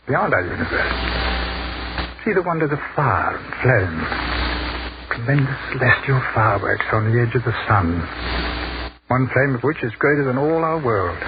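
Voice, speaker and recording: A man acting a thoughtful, educated army captain in a 1940s radio drama, speaking in measured, articulate phrases. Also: mid-range voice, natural voice. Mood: dreamy, awed. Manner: measured, articulate, eloquent speech. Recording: noisy muffled 1940s radio recording.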